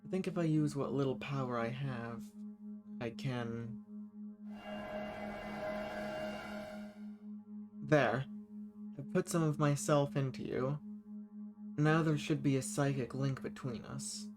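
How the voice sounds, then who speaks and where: A man acts out a seductive banished villain, an ancient and lonely schemer from a roleplay audio drama. He talks intimately to one listener, flirtatious and teasing with a theatrical turn. His voice sits at a middling pitch over clearly audible music. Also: steady tone, unhurried, natural voice. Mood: urgent, focused.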